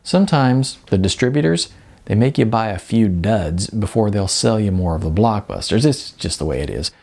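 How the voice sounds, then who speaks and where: Casual young store clerk, snarky and chatty, done by a guy reading, low voice that swings around a lot. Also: lively intonation, moderate pace, gravelly, a little mellow. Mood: dismissive. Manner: offhand, chatty, dismissive. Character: young male video store clerk, blunt and wisecracking. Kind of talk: audiobook character voice.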